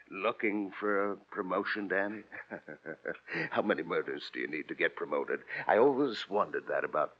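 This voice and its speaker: An actor playing an arrogant, murderous lawyer in an old radio crime drama, pompous and mocking. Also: male voice, deep voice, animated, unhurried, pauses often. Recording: muffled vintage radio recording.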